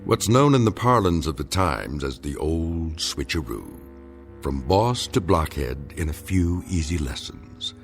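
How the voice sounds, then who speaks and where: A man narrating a scripted radio drama in a wry, measured, formal manner. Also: very deep voice, big pitch swings, gravelly, faint music behind.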